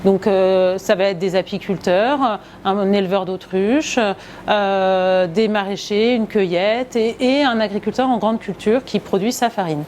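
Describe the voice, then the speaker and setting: A woman talks in an explanatory interview as a local project representative. Her voice sits at a middle pitch, with faint ambient noise behind her. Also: a little slow, darkish tone.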